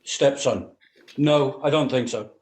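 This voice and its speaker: A man acting a gruff, callous, domineering stepfather in a script read, scornful and given to exasperated orders. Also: medium pitch, slow, darkish tone, lightly acted. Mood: curt, dismissive. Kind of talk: actor's script table read.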